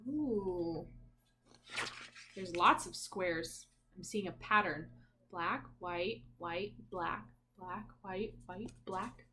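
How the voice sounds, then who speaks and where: A woman's voice: on the low side, drawn-out words, pushed and bright, a little hiss or hum. A woman teacher reading a picture book aloud to young children in a sing-song way, prompting listeners with questions.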